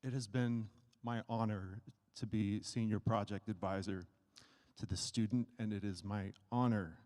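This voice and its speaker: A man, a project advisor, giving a formal stage introduction in measured, deliberate phrases with pauses. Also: lowish voice, fairly even intonation, a little mellow. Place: stage in a large hall. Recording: echoing hall with long reverb.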